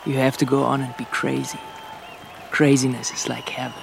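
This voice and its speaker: An actor playing a laid-back young hippie at a festival in a radio play. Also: male voice, medium pitch, flat delivery, normal speed, vocal fry. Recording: loud crowd and outdoor festival noise.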